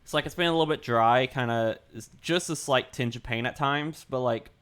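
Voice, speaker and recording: A young man streaming while he draws, chatting casually with his viewers, his throat dry and sore and his voice a little deeper than usual. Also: normal speed, a bit bright, clean tone. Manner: rambling, chatty, greets chat, repeats himself. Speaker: art livestreamer. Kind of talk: casual livestream chat.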